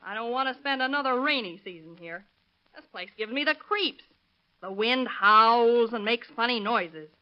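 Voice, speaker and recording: An actress playing a suspicious, fed-up housewife, brusque and complaining, in 1930s radio crime drama style. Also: female voice, a little high, normal speed, natural voice. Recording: dull lo-fi vintage radio recording.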